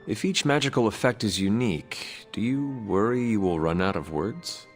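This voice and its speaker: A man acting a stoic ancient demon hunter in a video game cutscene, with measured, formal phrasing. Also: lowish voice, expressive, soft background music, natural voice. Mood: reserved.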